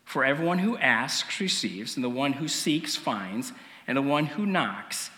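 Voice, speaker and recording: Animated male preacher in a reverberant hall. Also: medium pitch. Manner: conversational, halting, with frequent pauses and throat clearing. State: slightly rough morning voice. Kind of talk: sermon with illustrative storytelling.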